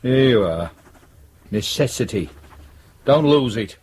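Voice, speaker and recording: An actor playing a wry, needling British junior police detective in a naturalistic radio drama, dry and teasing. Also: male voice, medium pitch, animated, slow pace, darkish tone, a little hiss or hum.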